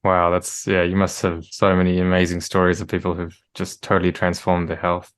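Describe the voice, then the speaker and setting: Host: man, very deep, flat delivery, relaxed and a bit hesitant. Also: brisk, smooth. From New Zealand. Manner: easygoing, soft affirmations, some hesitant fillers. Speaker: podcast host. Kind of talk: casual interview chat.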